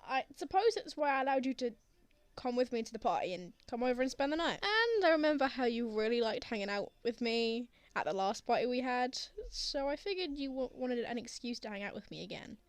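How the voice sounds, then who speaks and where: A woman's voice: on the high side, lively intonation, brisk, no room sound, lightly acted. A young woman playing a teasing, bashful but tender college student in an intimate roleplay audio drama. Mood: sincere, tender. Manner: playful teasing with bashful hesitations.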